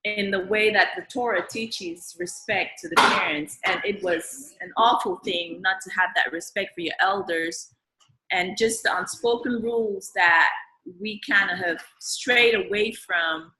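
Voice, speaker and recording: Soft-spoken woman from Cameroon, echoey room. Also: mid-range voice, unhurried. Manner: hesitant, frequent ums. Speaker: audience member. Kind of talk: personal testimony at a live forum.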